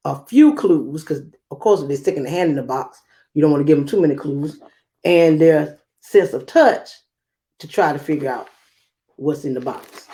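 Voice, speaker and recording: A woman guiding young listeners through a read-aloud lesson, instructive and speaking straight to them with emphatic pauses. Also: sits low, dark tone. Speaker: guest reader and read-aloud host for children.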